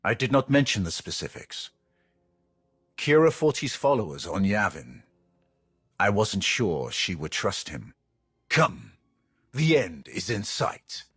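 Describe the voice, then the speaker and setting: Deep, gravelly stern Sith warrior. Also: male voice, big pitch swings, slow pace, long pauses, fairly bright tone, dry recording, clean studio sound, faint music behind, heavily acted. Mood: measured, guarded. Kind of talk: dramatic game acting.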